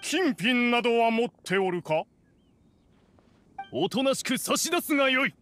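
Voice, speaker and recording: A man's voice: very high voice, expressive, measured pace, fairly bright tone, heavily acted. A man playing a theatrical bandit in a video game, speaking in pompous, archaic phrases. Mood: pompous, menacing. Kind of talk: Japanese video game voice acting.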